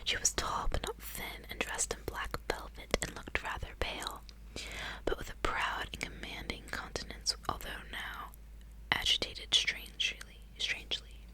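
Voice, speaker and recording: A woman speaking in a breathy whisper, reading aloud in an ASMR style. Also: unhurried, pushed and bright, poor audio. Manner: casual playful asides between read passages. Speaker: ASMR host.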